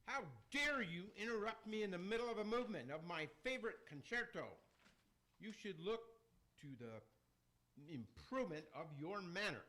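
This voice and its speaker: A vain, haughty tyrant of the underworld, played by a man in a radio play. The voice is high and sharp, pompous and full of theatrical self-importance. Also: very animated, moderate pace, a little hiss or hum, full character voice. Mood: indignant. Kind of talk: amateur live radio theater in rhyme.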